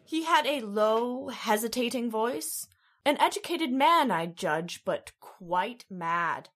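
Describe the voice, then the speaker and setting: A woman's voice: a little high, expressive, slow, a bit bright, full character voice. A woman playing an earnest, fearful young female figure in an old-time radio horror drama. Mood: earnest testimony.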